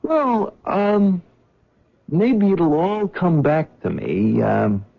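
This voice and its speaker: An actor playing a frightened cab driver and husband in a 1970s radio drama, talking nervously. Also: male voice, a little high, big pitch swings, extremely slow, vocal fry, exaggerated voice. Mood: relieved. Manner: nervous, stammering. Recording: dull old radio recording.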